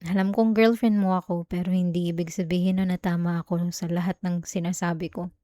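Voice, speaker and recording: A woman's voice: fairly low pitch, level tone, a little fast, mellow, no room sound, close to an everyday voice. A woman acting as a jealous, clingy young girlfriend in an intimate ASMR roleplay, with a Filipino accent. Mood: remorseful, tender.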